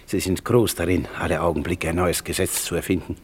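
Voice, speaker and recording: A man's voice: deep voice, fairly even intonation, brisk, a little hiss or hum. An actor playing a poor, tender old porter and father in a 1950s German radio play, with a theatrical, savouring delivery. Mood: warm, devout. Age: older. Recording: 1950s radio production with music.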